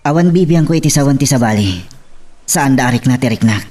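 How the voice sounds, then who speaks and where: Guy playing a sincere young man in love, medium pitch, pleading, sounds off the mic a bit. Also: animated, a little fast, darkish tone, recorded from a distance, lightly acted. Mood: earnest pleading. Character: earnest, devoted young village suitor, male. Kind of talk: romantic audio drama acting.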